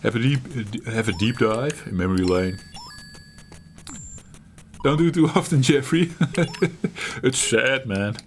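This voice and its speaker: A middle-aged man hosting his retro gaming channel, talking while he plays in a halting, reflective way, searching for words and laughing at himself. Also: mid-range voice, expressive, slight background noise. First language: Dutch. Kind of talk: reflective gameplay commentary.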